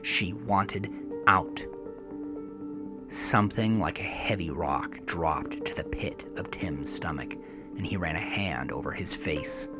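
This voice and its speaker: A man reads a scripted dark story in a low voice. The delivery is intense and theatrical, ranging from hushed to forceful. Music plays clearly behind the narration. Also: takes it slowly.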